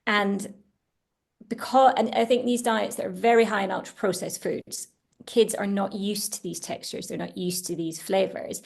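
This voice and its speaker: A woman's voice: mid-range voice, steady tone, normal speed, gravelly, a little mellow. A woman who founded a children's food brand, talking chattily and eagerly on a webinar panel, with many fillers and restarts. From Italian household. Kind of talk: casual panel discussion. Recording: webinar over video call.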